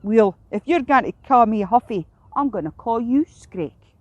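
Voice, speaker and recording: A woman's voice: fairly high pitch, animated, moderate pace, a little mellow, no echo, muffled recording. A woman voicing a sulky, fussy young male heron in a children's story, whingeing in a Scottish accent. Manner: whingeing, huffy.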